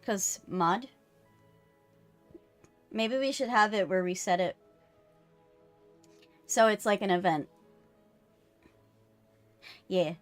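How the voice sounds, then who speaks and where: A woman chatting casually on a live game stream, playful, teasing and quick to swear, with music behind her. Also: mid-range voice. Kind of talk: casual banter while live streaming a visual novel.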